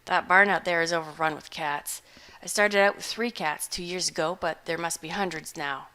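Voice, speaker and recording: A grizzled old farmer man, put on by a woman reading a storybook, speaking louder than the rest of the reading. He drawls slowly and mournfully in plain country grammar, placid and unhurried, like a gaunt man who has just woken up. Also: on the low side, steady tone. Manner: slow rustic drawl, ungrammatical country speech.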